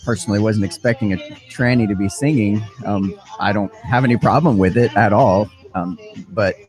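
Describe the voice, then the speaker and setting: A man from the southern United States hosts a casual livestream with a fairly low voice. He talks haltingly, restarting and repeating words as he goes, and music plays clearly behind him. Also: a little mellow. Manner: hesitant, repeats words, many fillers. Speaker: livestream host. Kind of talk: casual live commentary.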